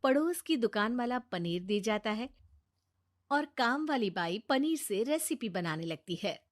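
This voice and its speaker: A woman narrator of animated bedtime stories. Her voice sits a little high, and she delivers scripted storytelling lines between the characters' dialogue. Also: a little slow.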